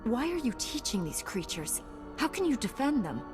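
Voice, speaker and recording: An actress playing a calm, authoritative, fair-minded female knight in a video game. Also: on the high side, moderate pace. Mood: composed, firm. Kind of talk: video game cutscene acting. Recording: faint music behind.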